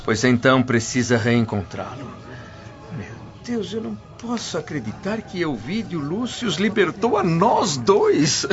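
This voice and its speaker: Music plays clearly behind a muffled radio drama. an emotional, devout father whose effusive, theatrical voice rises and falls widely. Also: a little high, huge pitch swings, measured pace. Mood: joyful amazement. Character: emotional, devout, overjoyed elderly freedman father. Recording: dull lo-fi radio drama recording.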